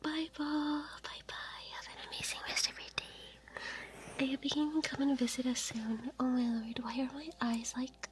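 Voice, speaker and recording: A woman whispering softly on a live stream, chatting with her viewers and giggling through her words. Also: sits high, steady tone, normal speed, slight background noise. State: laughing to tears.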